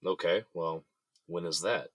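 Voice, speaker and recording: Man acting husky, low-voiced indignant jailed boss. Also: fairly even intonation, measured pace, no room sound, studio quality. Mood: anxious. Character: indignant jailed architecture company boss, desperate and betrayed. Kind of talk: audio drama acting.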